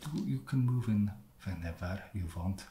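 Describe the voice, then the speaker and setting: A man acting as an awkward, creepy, fussy vampire in an ASMR roleplay, soft-spoken and full of halting hesitation. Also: on the low side, animated, close to an everyday voice. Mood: hesitant, creepy. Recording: roomy echo, loud background noise.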